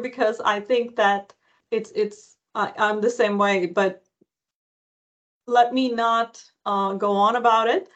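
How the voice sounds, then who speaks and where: A woman's voice: fairly high pitch, fairly bright tone. Chatty woman podcast host. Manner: chatty, restarts sentences often. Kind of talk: conversational interview.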